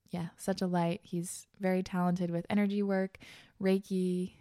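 A woman's voice: fairly low pitch, warm tone, clear, resonant tone. Bubbly young woman podcast host. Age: 20s. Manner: bubbly, gushing, laughs often, many fillers. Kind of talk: casual podcast chat.

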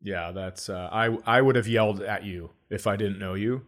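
A man's voice: on the low side, normal speed, a bit bright. A man co-hosting a comedy podcast in casual banter, sardonic and profane, acting out blunt remarks.

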